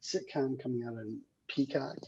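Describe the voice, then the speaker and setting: A screenwriter hosting casually, talking in a hesitant, rambling way with a husky, gravelly voice over a dull call recording. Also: medium pitch, fairly even intonation, normal speed, very noisy. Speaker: screenwriter and table read host. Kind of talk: casual chat.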